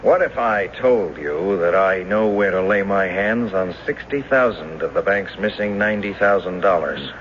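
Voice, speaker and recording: An actor playing an earnest, stubborn husband with a deliberate manner in a mystery radio drama. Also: male voice, low voice, a bit bright. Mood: firm. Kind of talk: old-time radio drama acting. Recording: muffled noisy radio drama recording.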